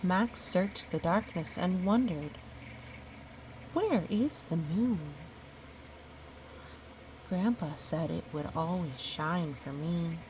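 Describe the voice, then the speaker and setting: A woman reading a children's picture book aloud, gently, breaking off for musing, chatty asides to a young listener. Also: deep voice, noisy background. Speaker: storybook reader.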